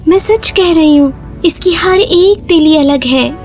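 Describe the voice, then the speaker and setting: Very high-pitched, earnest little girl. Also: a little mellow, soft background music. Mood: pleading. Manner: polite, pleading, earnest. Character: poor eight-year-old orphan girl, earnest, polite, pleading. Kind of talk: children's cartoon story acting.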